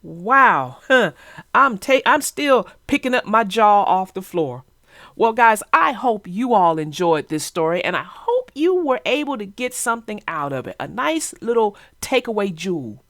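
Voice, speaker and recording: Woman hosting kids book chat, folksy, super animated, dry clean room sound. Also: medium pitch, unhurried, no echo. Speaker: host of a children's read-aloud show. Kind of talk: casual chat about a picture book.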